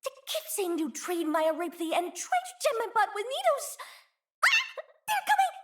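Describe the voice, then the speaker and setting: This is a cheeky, greedy young chief from a game. The voice sits very high and bright, with lively swings in pitch. She talks at an unhurried pace and keeps a teasing, bouncy manner. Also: full character voice. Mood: playful. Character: playful, greedy young female chief, mischievous. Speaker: voice actor. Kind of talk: game character voice lines.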